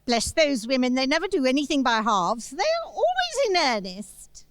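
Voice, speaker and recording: A woman's voice: high-pitched, big pitch swings, moderate pace, no room sound, studio quality. A woman playing a spirited, indignant young wife in a radio play, emphatic and fondly scolding.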